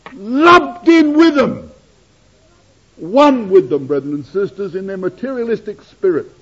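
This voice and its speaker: A man preaching a sermon to his congregation, addressing them as brethren and sisters and swinging his delivery from loud declamation to hushed asides. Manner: dramatic rises and drops in pitch and loudness. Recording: dull lo-fi sermon recording.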